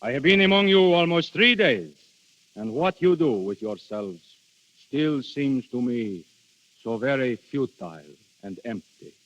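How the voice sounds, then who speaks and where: An actor in 1930s radio drama playing Death posing as a courtly, world-weary foreign prince, speaking formally and musingly. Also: male voice, medium pitch, lively intonation, fairly bright tone. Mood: wondering, disdainful. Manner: formal, musing, deliberate with hesitations. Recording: dull vintage 1930s radio recording.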